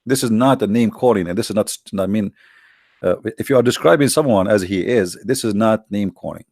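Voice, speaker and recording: Host, fairly low voice, dry close sound, scornful and emphatic delivery. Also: male voice, brisk, creaky, a little mellow, dry recording. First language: Arabic. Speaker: online debate host. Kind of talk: combative commentary to viewers.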